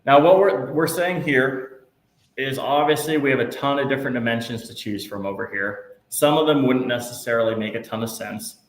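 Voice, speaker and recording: Man instructor, calm step-by-step explanations, roomy echo, faint background hiss. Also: medium pitch, normal speed. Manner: patient, reassuring, step-by-step. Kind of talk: live software class walkthrough. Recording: echoey room, faint noise.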